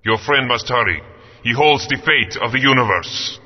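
An actor playing a grave, stern ancient spirit, speaking in a measured way. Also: male voice, mid-range voice, lively intonation, close to an everyday voice. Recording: dull game audio with long reverb.

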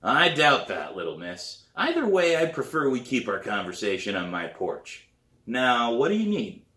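A man's voice: mid-range voice, huge pitch swings, normal speed, sharp tone, voice pushed up. A man voicing a crabby, skeptical middle-aged drum teacher in a storybook reading, dry and dismissive. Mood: wary, gruff. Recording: roomy, echoey living room.

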